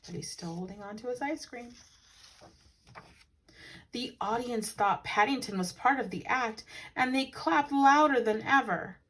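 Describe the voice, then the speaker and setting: A woman reading a children's story aloud as a teacher would, animated and with dramatic emphasis for young listeners. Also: fairly high pitch, a little slow, long pauses, poor audio. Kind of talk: expressive storybook read-aloud.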